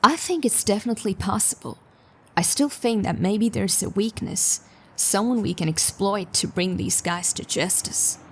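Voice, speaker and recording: Woman acting a creaky-voiced, determined sci-fi detective. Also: medium pitch, moderate pace, distant mic, lightly acted. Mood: resolute. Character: determined, sceptical female sci-fi police detective. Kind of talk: audio drama dialogue and investigative monologue.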